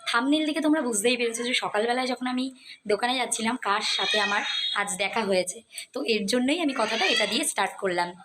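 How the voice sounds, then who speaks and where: A young woman with a West Bengal Bengali accent chatting casually to viewers in a vlog, addressing them as friends and mixing in English words. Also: a little high. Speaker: vlogger. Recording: faint background noise.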